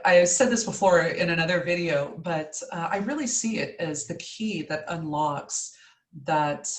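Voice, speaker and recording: Female host, calm, low voice, echoey room. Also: distant mic. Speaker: interview host and practitioner. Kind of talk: video call interview.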